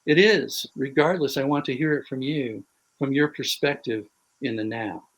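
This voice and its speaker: A man reading a passage of his own fiction aloud in a measured, wry voice over a video call. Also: mid-range voice. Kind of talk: prose reading.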